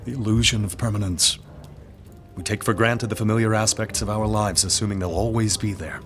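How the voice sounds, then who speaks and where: An actor playing a melancholic, guarded aristocratic lord in a gothic audio drama, speaking in a terse, grave and formal manner. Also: male voice, fairly low pitch, fairly even intonation, brisk, fairly bright tone, soft background music, natural voice. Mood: mournful.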